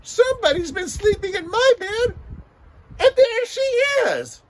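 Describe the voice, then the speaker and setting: A man's voice: very high voice, lively intonation, measured pace, slight background noise, pitched above the performer's own voice, rough recording. A man voicing a tiny baby bear in a children's story, wailing near tears.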